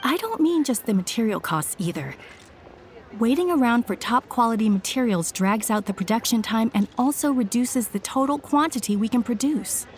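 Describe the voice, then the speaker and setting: A woman playing a polite, earnest professional from a craft business in a video game, friendly and expressive. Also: on the high side, moderate pace, slight background noise, natural voice. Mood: hesitant, candid. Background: background music.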